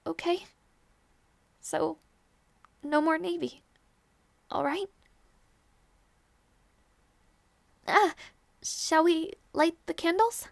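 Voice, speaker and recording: Woman doing a high pitched young princess, shy n flustered, slow stammery talk, bit creaky, audio roleplay. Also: no room sound, heavily acted. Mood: tender, playful. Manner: hesitant, stammering, soft-hearted. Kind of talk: intimate audio roleplay acting.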